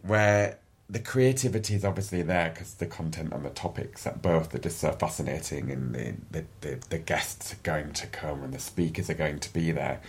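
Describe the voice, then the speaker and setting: Middle-aged Yorkshire man, low and slightly husky, chatty podcast guest who stumbles over repeated words. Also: normal speed. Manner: chatty, repeats words when starting sentences. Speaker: guest, events agency director. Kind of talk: casual interview chat.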